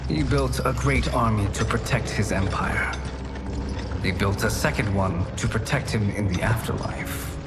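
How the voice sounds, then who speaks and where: A man's voice: lowish voice, measured pace, lightly acted. Persuasive, calculating villain, acted by a man over music. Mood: assured explaining. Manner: persuasive, formal, self-assured. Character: smooth-talking, calculating male villain courting an ally. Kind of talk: dramatic video game cutscene acting.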